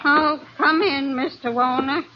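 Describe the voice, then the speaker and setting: A woman's voice: high-pitched, steady tone, measured pace, heavy background noise. An actress playing a chatty, motherly older woman aboard a ship, in a homely 1940s radio drama style. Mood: fussing. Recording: muffled old radio recording.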